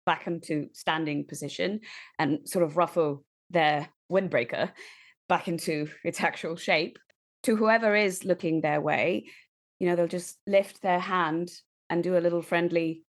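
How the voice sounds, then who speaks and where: A woman takes part in a tabletop roleplay as a player. Her voice sits fairly low, and she describes her character in casual, improvised phrases. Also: steady tone, a little slow.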